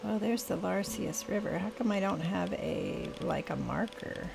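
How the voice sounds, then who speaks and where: An older woman with a scratchy voice, slowly thinking aloud as she plays a video game. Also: medium pitch, gravelly. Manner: thinking aloud, slow and musing. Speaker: gaming channel host. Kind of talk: casual gameplay commentary. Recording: steady rain noise behind. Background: rain.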